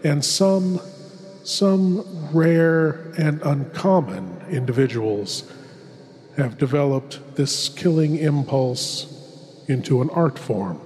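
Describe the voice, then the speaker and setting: Man playing a slow, ominous horror host in cavernous reverb. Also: a little high, expressive, a little mellow. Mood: macabre relish. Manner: slow, deliberate, ornate, ominous. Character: Lord Bloodraw, suave macabre horror host savoring dark tales. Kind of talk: theatrical scripted horror hosting. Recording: long reverberant hall.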